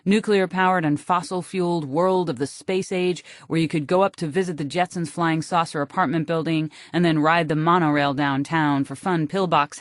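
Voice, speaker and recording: A woman with an American accent reads a literary first-person audiobook in a wry, deadpan manner, close on the microphone. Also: low voice, no echo. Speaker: audiobook narrator.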